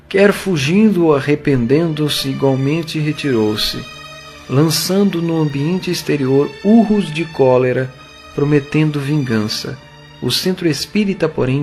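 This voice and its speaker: A man with a Brazilian accent giving solemn, measured dramatic narration for an audio novel. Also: fairly high pitch, a little mellow.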